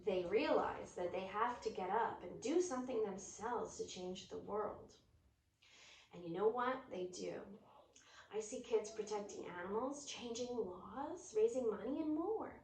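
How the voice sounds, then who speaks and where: A woman reading a children's book aloud with expressive, storytelling delivery. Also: on the high side, a little slow. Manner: expressive, drops to whispers at quiet moments. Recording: roomy echo, distant mic, faint noise.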